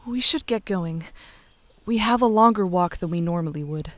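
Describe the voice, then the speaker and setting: A woman's voice: on the low side, moderate pace, no room sound, lightly acted. A woman playing a caring, decisive young princess in a fantasy audio drama. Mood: affectionate.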